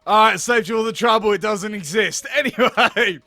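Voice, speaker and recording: A man's voice: high-pitched, normal speed, sharp tone, dry recording. A man giving playful read-aloud commentary on a visual novel game, theatrical and giggly, with profane asides. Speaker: gaming video host. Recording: music behind the voice.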